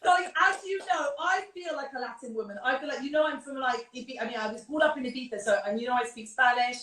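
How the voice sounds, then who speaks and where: A woman's voice: sits high, a bit bright, echoey room, recorded from a distance, poor audio. An English woman, a makeup artist, chatting effusively over a video call. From England. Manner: gushing, effusive, quick to agree. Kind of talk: casual chat.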